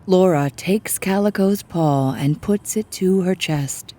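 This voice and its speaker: Low-pitched woman narrating a story. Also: slow, dark tone. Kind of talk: scripted dramatic storytelling narration.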